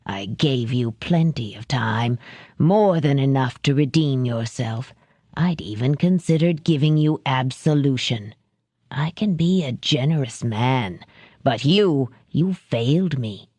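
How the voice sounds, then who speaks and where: A woman voicing a cold, refined and sadistic male crime boss who speaks with soft, clipped menace. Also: rumbling low, expressive, slow pace, slightly rough, dry recording, voice pushed down, full character voice.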